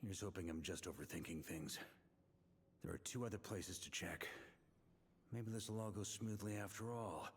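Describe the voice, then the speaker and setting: An actor playing a confident, easygoing knight commander in a video game, speaking with relaxed authority. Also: male voice, low-pitched, moderate pace, long pauses, slight background noise. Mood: musing, wary. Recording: music under the voice.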